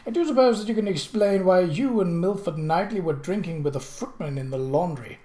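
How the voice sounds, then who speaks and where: A narrator voicing an imperious, mocking British prince in an audiobook, pompous and theatrical, with deliberate, drawn-out emphasis. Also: medium pitch, dark tone, roomy sound, pitched above the performer's own voice, close to an everyday voice. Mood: brisk, pointed. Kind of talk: audiobook character voice.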